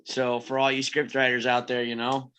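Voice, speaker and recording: A man's voice: medium pitch, completely flat delivery, normal speed, pushed and bright, smooth. A man chatting casually in a slangy, buddy-like way as a table read cast member. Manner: slangy, says "dude" and "man" often. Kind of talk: casual chat.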